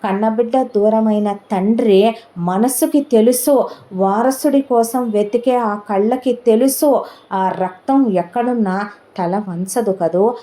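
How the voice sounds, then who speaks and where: A resolute young woman presses her point in slow, weighty, repeating phrases, as a woman storyteller voices her. Her tone is mellow and soft. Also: on the high side. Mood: solemn insistent. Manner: measured, rhetorical and emphatic. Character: determined young woman revealing hidden truths.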